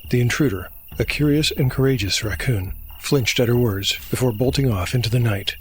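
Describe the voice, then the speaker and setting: A man's voice: mid-range voice, normal speed, a little hiss or hum. A man narrating a scripted fantasy tale as the storyteller and game master. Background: music bed.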